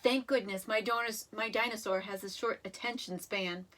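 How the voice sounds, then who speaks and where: A woman reading a children's story aloud in an expressive, animated way, with dramatic emphasis and drawn-out words. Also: mid-range voice. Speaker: storybook reader.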